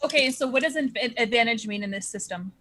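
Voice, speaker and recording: High-voiced woman playing a tabletop game. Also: fast talker, a crowd in the background. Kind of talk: casual table talk.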